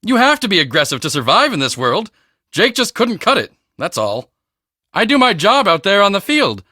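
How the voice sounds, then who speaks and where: A man voicing a cocky, boastful teenage high school football star in a video game, with a swaggering, emphatic, self-important delivery. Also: huge pitch swings, moderate pace, sharp tone, no room sound, clean studio sound, exaggerated voice. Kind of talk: video game character dialogue.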